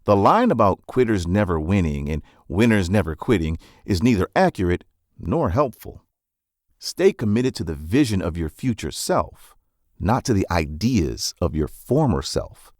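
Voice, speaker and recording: A man reading scripted nonfiction narration in a measured, instructive manner, as for an audiobook summary. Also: deep voice, big pitch swings, no echo, clean studio sound.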